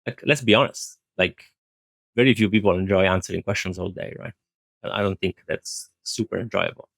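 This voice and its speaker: A man's voice: sits low, brisk, vocal fry, fairly bright tone, no room sound. A man answering interview questions as an IT director, explaining his views earnestly and repeating phrases for emphasis. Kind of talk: thoughtful conversational interview answers.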